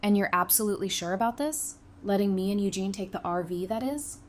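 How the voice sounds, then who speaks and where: A woman's voice: medium pitch, expressive, a little fast, slight background noise, natural voice. A woman playing a practical, easygoing survivor in an audio drama, speaking in a matter-of-fact, conversational way. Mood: upbeat, casual.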